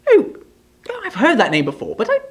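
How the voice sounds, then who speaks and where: A man voicing a fawning, obsequious lawyer who gushes and stammers flattery. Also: high voice, brisk, gravelly, pitched above the performer's own voice, heavily acted. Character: fawning obsequious male lawyer, middle-aged.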